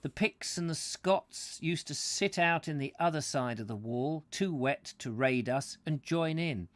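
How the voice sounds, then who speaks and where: A man's voice: a little high, animated, measured pace, dry recording, pitched above the performer's own voice. A man voicing a hearty Roman centurion reminiscing in an exclamatory storytelling manner.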